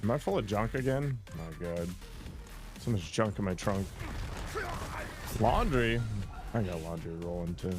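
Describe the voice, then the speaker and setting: A man's voice: lowish voice, expressive, unhurried, long pauses, music in the background. A man streaming a video game, chatting casually and crudely with his viewers and poking fun at himself. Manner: joking, crude, self-mocking. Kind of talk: casual gameplay commentary to chat.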